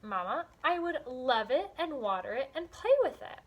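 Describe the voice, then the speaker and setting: A woman's voice: high voice, sing-song, moderate pace. A woman reading the part of an eager, insistent little girl in a storybook, pleading and questioning.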